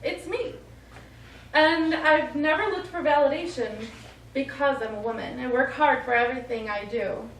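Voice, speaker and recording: A woman's voice: sits high, normal speed. An actress playing a tough, brash woman astronaut in a stage play, with a sardonic, one-of-the-guys manner. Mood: earnest, proud. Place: small studio theatre, recorded from afar. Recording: roomy, noisy, distant stage recording.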